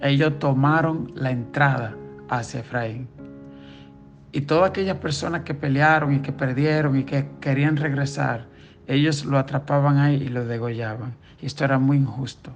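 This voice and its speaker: A man's voice: mid-range voice, fairly even intonation, normal speed, lo-fi sound, soft background music. A man with a southern Dominican accent, a pastor giving a daily devotional sermon, reading scripture and explaining it in a conversational preaching tone. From southern Dominican Republic.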